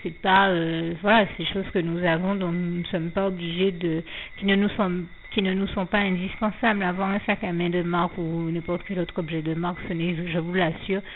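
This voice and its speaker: Low-voiced woman on a tinny line. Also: flat delivery, normal speed, a little hiss or hum. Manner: hesitant, many fillers and restarts, earnest. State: slight frog in the throat. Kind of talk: anonymous spoken faith testimony.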